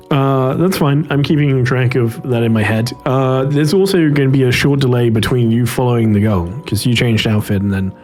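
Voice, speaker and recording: A man running a tabletop roleplaying game as game master, explaining and narrating in hesitant, halting phrases. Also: medium pitch, darkish tone, soft background music.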